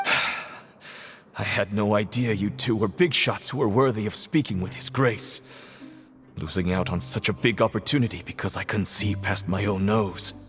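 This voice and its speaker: A man's voice: lowish voice, normal speed, fairly bright tone, faint music behind, natural voice. A man playing a humble, deferential prison deacon in a video game, speaking in a self-deprecating way. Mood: regretful, apologetic. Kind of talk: English video game voice acting.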